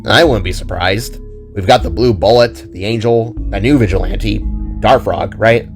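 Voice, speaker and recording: A man's voice: fairly low pitch, normal speed, faint music behind, close to an everyday voice. A man voicing a friendly, thoughtful young musician with an easygoing, musing way of talking in an audio drama.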